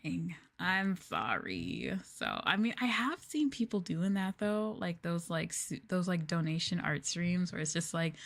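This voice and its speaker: A woman's voice: lowish voice, big pitch swings, unhurried, vocal fry, hardly any pauses, a bit bright. Giggly, rambling woman streamer. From United States. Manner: rambling, filler-heavy with lots of "like"; giggly and playful. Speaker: VTuber streamer. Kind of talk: casual chat stream.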